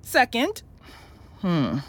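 Slow-spoken, patient, loving mother. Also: mid-range voice, wildly swooping pitch, breathy edge, exaggerated voice. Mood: affectionate, firm. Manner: gentle, thoughtful, hesitant explaining. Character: loving, patient academic mother of young son. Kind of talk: audio drama acting.

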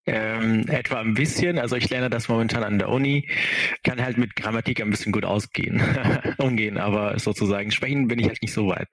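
A man's voice: on the low side, fairly even intonation, a little fast, a bit bright, a little hiss or hum. Young man, hesitant German speech. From Syria. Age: 20s. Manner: hesitant, many fillers, earnest. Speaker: guest. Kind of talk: conversational interview answers.

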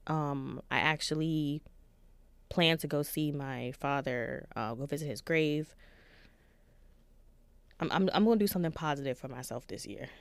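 A woman in her thirties co-hosting a podcast in casual chat, soft-spoken and reflective. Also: low-pitched, normal speed, long pauses, smooth, dry recording. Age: 30s. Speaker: podcast co-host.

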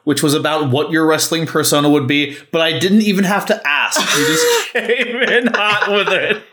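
Higher-voiced man hosting a podcast. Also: very animated, normal speed, pushed and bright. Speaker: podcast co-host. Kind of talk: podcast hosting, part scripted introduction and part casual chat.

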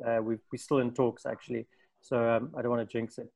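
A weary man from South Africa speaks over a video call with a flat, even delivery. He pauses often, fills gaps with ums and restarts his sentences. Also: mid-range voice, gravelly. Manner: halting, tired, many ums. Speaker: designer in a video call group. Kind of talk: casual chat.

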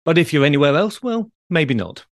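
A man's voice: fairly high pitch, sing-song, fairly quick, dry recording, clean studio sound. A man hosting a conversational podcast interview. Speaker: podcast host.

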